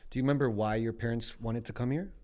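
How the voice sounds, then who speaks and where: A man, hosting a podcast and asking relaxed, casual questions. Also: mid-range voice, fast talker. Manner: relaxed, short prompts and acknowledgments. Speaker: podcast host.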